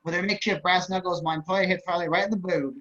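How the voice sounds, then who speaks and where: A man's voice: sits high, fairly even intonation, quick pace, sharp tone. A man reading out stage directions as narrator of a script table read over a video call. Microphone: computer on a video call. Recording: dull, lo-fi video-call audio.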